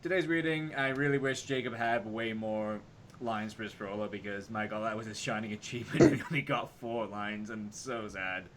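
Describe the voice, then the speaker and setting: A man hosting casual group banter, playful and excitable in the way he talks. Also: fairly low pitch, normal speed, crowd noise. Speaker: host of a hobby voice-impression reading.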